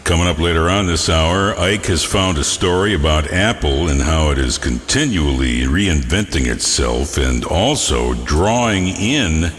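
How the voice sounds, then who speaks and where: A man's voice: very deep voice, expressive, unhurried, hardly any pauses, a bit bright. A man working as a music radio DJ, chatting playfully with hammy, jokey asides and bits of news. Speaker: radio DJ, host. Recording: reverberant radio stream with music bed.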